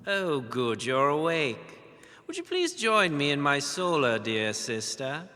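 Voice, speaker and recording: A man's voice: a little high, big pitch swings, unhurried, sharp tone, full character voice. An actor playing an imperious, scheming nobleman with formal, mock-courteous speech. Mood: smug, commanding. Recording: long hall reverb.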